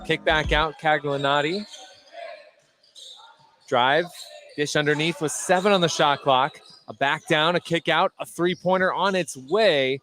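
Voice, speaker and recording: A man doing live play-by-play basketball commentary for radio from a high school gym. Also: a little slow, long pauses, a bit bright, soft background music. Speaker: play-by-play announcer. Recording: faint gym noise, bouncing basketball.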